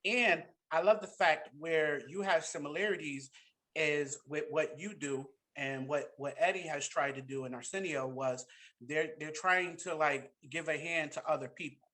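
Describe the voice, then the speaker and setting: Host: man with a bright, slightly high voice, easygoing interviewer on a headset. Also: normal speed. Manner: relaxed conversational questioning. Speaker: podcast host. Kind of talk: casual interview chat. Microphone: headset on video call.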